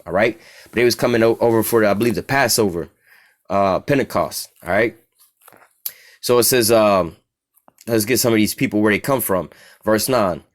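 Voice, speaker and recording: A man from the United States with an American accent, giving an informal, profane bible teaching and keeps asking "all right?". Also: fairly low pitch.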